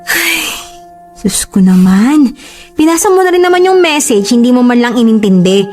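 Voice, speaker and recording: A woman's voice: mid-range voice, moderate pace, mellow, faint music behind, close to an everyday voice. A woman playing a devoted, hurt bride-to-be in a relationship drama, speaking emotively with a Filipino accent. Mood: hurt, indignant. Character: long-suffering, devoted bride-to-be, hurt and defensive.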